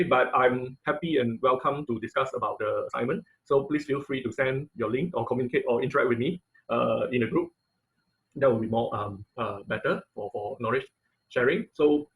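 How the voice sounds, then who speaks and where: A man teaching an online class in an informal lecture style, with halting, hesitant phrasing and repeated words, speaking over a video call. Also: medium pitch, poor audio. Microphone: computer mic on a video call.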